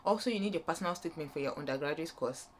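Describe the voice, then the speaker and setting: A woman's voice: low voice, rapid-fire, slight background noise. A young woman with a Nigerian accent gives an informal, unscripted explainer as a vlogger, rambling and rephrasing her points as she goes. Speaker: vlogger giving student application advice.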